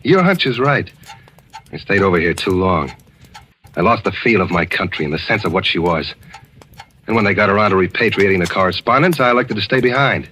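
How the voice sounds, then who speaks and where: A cool, sardonic American turncoat, hard-boiled and unbothered. His voice sits low but bright. The recording carries the faint hiss of vintage radio. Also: animated, a little fast. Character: cynical American turncoat correspondent. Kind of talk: 1940s radio acting.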